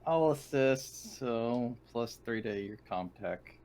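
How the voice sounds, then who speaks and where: A man's voice: mid-range voice, expressive, slow pace, a little mellow, a little hiss or hum. A man playing a tabletop game, speaking in character and describing actions in formal, precise wording. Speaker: player in a tabletop RPG.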